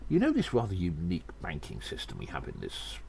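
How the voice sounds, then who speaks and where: A man playing a little gnome adventurer in an audio drama, confiding in his friends in a theatrical, halting way. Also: low voice, huge pitch swings, creaky, darkish tone, a little hiss or hum, full character voice. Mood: conspiratorial. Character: little male gnome adventurer, conspiratorial storyteller addressing friends. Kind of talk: scripted audio drama monologue with dialogue.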